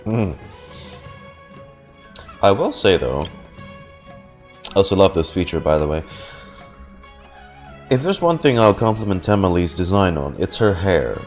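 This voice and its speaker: A man's voice: deep voice, big pitch swings, unhurried, pauses often. A man giving casual, rambling live reactions to a game, reading lines aloud with wry asides. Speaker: game streamer. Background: game music.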